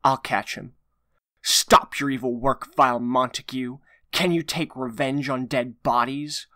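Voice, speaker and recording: A man's voice: medium pitch, fairly even intonation, a little slow, pushed and bright, no room sound, studio quality. A man playing a proud, mournful and righteous young nobleman in a dramatic audio drama, speaking in an earnest, declamatory way. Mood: indignant. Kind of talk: dramatic audio drama acting, modernized Shakespeare.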